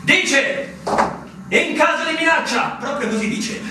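An actor playing a watchful, deferential soldier in a theatrical radio drama, with an excitable manner. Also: male voice, very high-pitched, unhurried. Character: deferential, watchful male soldier on fortress lookout. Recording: very echoey, distant, noisy ambience.

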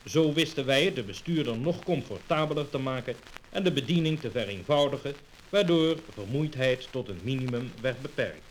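A man reading scripted mid-century promotional narration in a formal, measured way. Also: medium pitch, expressive. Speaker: narrator of an industrial promotional film. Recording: noisy old lacquer test disc.

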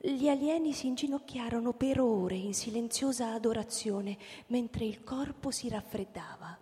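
A woman's voice: a little high, normal speed, dark tone. A woman narrating a literary radio drama from a script. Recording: long hall reverb.

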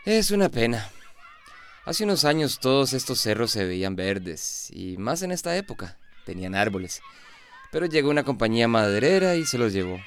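Affable, clumsy male workman for radio serial, mid-pitched, slight noise behind. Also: sing-song, moderate pace, a bit bright. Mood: friendly, rueful. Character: friendly, clumsy workman at a village school. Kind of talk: Spanish-language radio drama acting. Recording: faint background noise.

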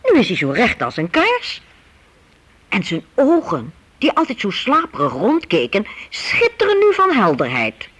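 Very animated radio play storyteller. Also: medium pitch, measured pace. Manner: animated, conversational, asks rhetorical questions. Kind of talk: lively storytelling narration for youth radio play. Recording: noisy old radio play recording.

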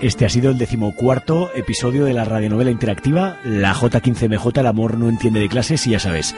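A man giving a relaxed, informal closing announcement for a radio drama. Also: medium pitch, brisk, music in the background. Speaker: radio drama producer, host. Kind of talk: casual outro announcement.

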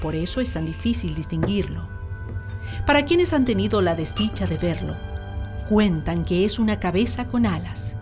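A woman's voice: on the low side, expressive, normal speed. A woman giving scripted dramatic narration for a radio play. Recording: loud music behind the voice.

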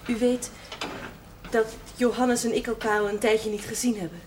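A woman's voice: on the high side, level tone, normal speed, darkish tone, slight background noise, lightly acted. An actress playing a resolute, earnest village woman in a television period drama, speaking solemnly and directly in short statements. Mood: resolute, sad. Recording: distant microphone, music behind, 1970s television.

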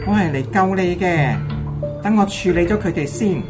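A woman's voice: on the low side, normal speed, music in the background, natural voice. A woman playing a resolute, compassionate Taoist priest in a radio drama, firm and assured. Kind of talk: Cantonese radio drama acting.

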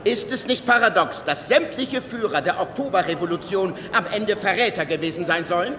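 An ageing exiled revolutionary in a radio play, fiery and stubborn. His high voice swings widely in pitch. It rings in a long hall reverb with music underneath. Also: lively intonation, a little fast, crowd noise. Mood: indignant, commanding. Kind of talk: German radio drama acting.

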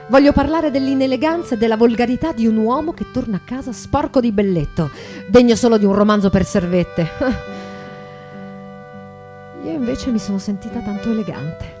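Woman acting a bitter elegant 1800s society wife, theatrical confessional monologue, goes from hushed to declaiming, guitar behind. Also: on the high side, animated, moderate pace. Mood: proud, scornful, then tense, agitated. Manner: dramatic, confessional, shifting between hushed and declamatory. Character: pregnant, embittered, elegant 19th-century bourgeois writer wife, proudly ironic. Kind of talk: theatrical monologue with live music. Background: guitar music.

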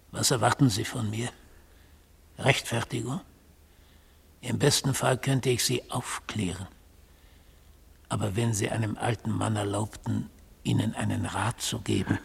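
An actor playing an old, sardonic, unrepentant god on trial in a literary radio play, speaking deliberately and with irony. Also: male voice, lowish voice, steady tone, heavily acted. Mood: sardonic, proud. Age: older. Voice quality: husky, gravelly old voice. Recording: reverberant 1970s radio drama studio.

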